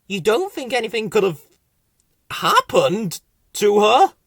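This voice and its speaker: A woman's voice: medium pitch, sing-song, takes it slowly, husky, dry recording, clean studio sound, pitched above the performer's own voice, heavily acted. A woman voicing a worried, kindly little creature with an anxious, polite manner in a children's story.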